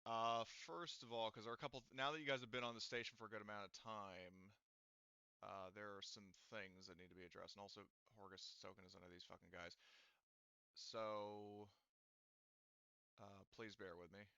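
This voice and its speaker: A man's voice: fairly low pitch, fairly even intonation, moderate pace, pushed and bright, clean tone, no room sound. A man running a tabletop game as game master, rambling loosely and swearing casually over a dull lo-fi mic.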